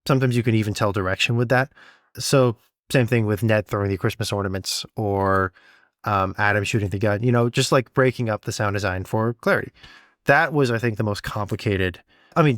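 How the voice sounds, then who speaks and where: A man's voice: fairly low pitch, brisk, a bit bright, dry recording, clean studio sound. A man co-hosting a podcast as a sound designer, explaining things step by step in a casual conversational style. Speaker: audio drama sound designer, podcast co-host.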